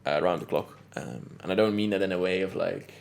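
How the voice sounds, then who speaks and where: Man with a low gravelly voice. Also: fast talker. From Belgium. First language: Dutch. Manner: relaxed and reflective, laughs between points. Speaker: podcast guest. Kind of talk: conversational interview.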